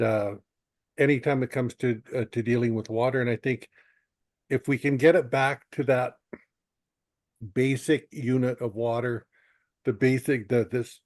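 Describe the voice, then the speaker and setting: A man, an elder and chief, giving a slow, reflective greeting over a video call with many restarts. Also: mid-range voice, darkish tone, no echo, clean studio sound. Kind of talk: webinar greeting.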